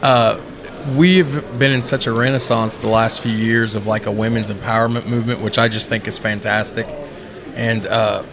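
A man's voice: fairly low pitch, flat delivery, normal speed. Man in his thirties, chatty podcast co-host. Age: 30s. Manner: friendly, rambling, praising guests. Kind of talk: casual interview chat. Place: busy convention hall. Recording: crowded event hall. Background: crowd chatter.

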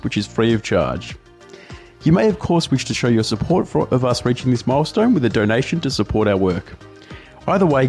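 A man's voice: mid-range voice, darkish tone. An Australian man hosting a podcast in an informal, upbeat way. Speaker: podcast host. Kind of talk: scripted podcast introduction and casual interview.

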